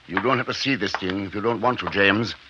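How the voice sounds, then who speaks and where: An actor playing a proud, secretive, driven scientist in a 1950s radio drama, with an intense, clipped delivery. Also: male voice, fairly low pitch, brisk, close to an everyday voice. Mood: guarded, matter-of-fact. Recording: muffled, noisy 1950s radio recording, clicks.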